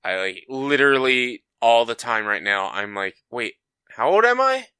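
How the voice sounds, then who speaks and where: A man's voice: mid-range voice, moderate pace, smooth, no echo, clean studio sound. A man in his thirties co-hosting a podcast, telling stories in casual chat, swearing freely and acting out what people said. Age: 30s. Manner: animated storyteller, swears freely, acts out quotes. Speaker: podcast co-host.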